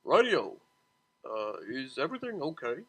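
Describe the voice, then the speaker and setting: A woman's voice: rumbling low, sing-song, a little slow, breathy edge, full character voice. A woman voicing a kind, chivalrous male pony carriage driver with an easygoing drawl. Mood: concerned.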